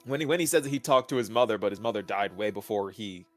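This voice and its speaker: A man's voice: on the low side, animated, quick pace, soft background music. A man chatting casually as a stream guest in a laid-back way about a game's story. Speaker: stream guest, lore enthusiast. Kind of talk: casual chat, discussing game story.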